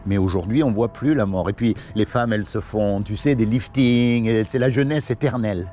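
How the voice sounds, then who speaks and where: A man's voice: lowish voice, moderate pace, warm tone, soft background music. A man from Morocco doing casual one-on-one street preaching, earnest and pleading, full of everyday examples. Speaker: street evangelist. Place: outdoors on a city street.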